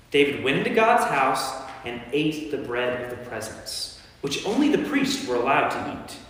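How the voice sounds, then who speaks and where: Male actor as Jesus, authoritative and earnest, lighter-pitched, emphatic. Staged church reading, distant and very reverberant. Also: fairly bright tone. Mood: instructive, firm. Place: large church interior. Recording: very echoey church, distant mic.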